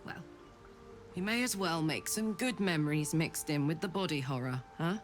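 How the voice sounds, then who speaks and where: A woman's voice: low voice, moderate pace, soft background music, natural voice. A woman playing a brash, hearty tiefling warrior in a video game, with a blunt, sweary, exuberant manner. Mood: reflective. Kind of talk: video game character dialogue.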